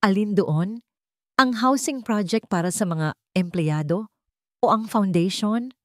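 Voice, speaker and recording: A woman voicing a young female company chairperson who speaks softly and politely. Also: medium pitch, measured pace, no echo, studio quality, pitched above the performer's own voice, close to an everyday voice. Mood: tender.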